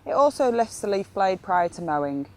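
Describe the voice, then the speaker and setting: Woman doing a training film voiceover, reading out instructions, pitch moves around a lot. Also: sing-song, moderate pace, poor audio. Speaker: instructor narrating an educational film. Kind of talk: scripted instructional narration.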